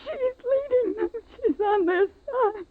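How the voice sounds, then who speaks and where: A woman's voice: very high voice, takes it slowly. A woman playing a terrified, frantic mother in a 1950s American radio drama, pleading breathlessly and urgently. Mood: tearful terror. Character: terrified, frantic mother hiding from an alien invasion. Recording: tinny, very noisy old radio recording.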